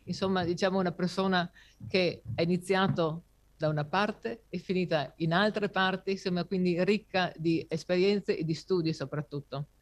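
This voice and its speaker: A man acting as moderator, formally introducing a guest speaker at a university conference in a lecture hall, in a measured way with some fillers. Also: sits high. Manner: measured, with fillers and self-corrections.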